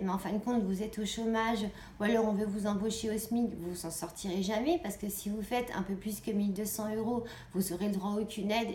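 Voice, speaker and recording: A woman's voice: on the high side, a little mellow. A woman gives an informal political address to viewers, earnest and exhorting, repeating phrases for emphasis. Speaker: online video host. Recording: echoey room, clear background noise.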